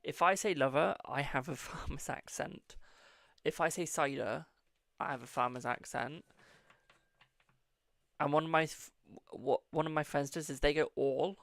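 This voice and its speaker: Chatty English tarot host, gravelly voice. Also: mid-range voice, measured pace, pauses often, a bit bright, dry recording, clean studio sound. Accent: English, posh-sounding. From England. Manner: chatty, swears freely, calls viewers "my darling". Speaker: tarot reader hosting a live stream. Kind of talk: live-stream card reading and casual chat.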